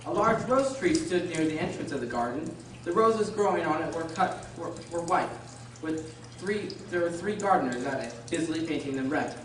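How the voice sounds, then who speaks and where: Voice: medium pitch, expressive, moderate pace. A narrator reading a scripted story for a radio drama. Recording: echoey hall, distant mic, clattering noise.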